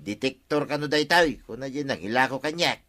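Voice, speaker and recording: A man's voice: medium pitch, fairly quick, bright, forceful tone, close to an everyday voice. A man playing a gullible, eager villager in an Ilocano radio comedy, insistent and speaking with an Ilocano accent. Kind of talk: Philippine radio comedy drama acting.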